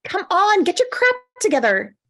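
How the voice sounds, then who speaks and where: A woman playing an awkward, quietly competitive single woman in a screenplay table read, conversational and defensive. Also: very high voice, big pitch swings, normal speed, full character voice. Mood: exasperated.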